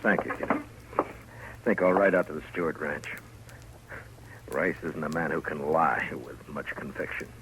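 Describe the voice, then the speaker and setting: An American actor playing a steady, dogged Old West marshal, laconic and firm, in 1950s radio drama style. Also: male voice, extremely low pitch, normal speed, long pauses. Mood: grim, terse. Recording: noisy old radio recording.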